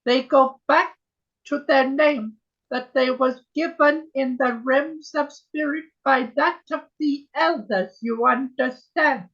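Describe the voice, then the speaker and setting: A trance medium channeling a gentle female spirit sister on a video call, speaking in a solemn, old-fashioned way and calling everyone my dear. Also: sits high, lo-fi sound. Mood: solemn, tender. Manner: slow, solemn, archaic phrasing, keeps saying my dear.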